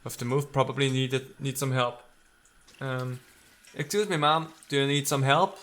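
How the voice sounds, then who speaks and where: Bright-voiced man doing playful gaming commentary. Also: mid-range voice, fairly even intonation, moderate pace. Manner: playful, theatrical, talks to game characters. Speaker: let's play host. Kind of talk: casual gaming commentary with read-out game text.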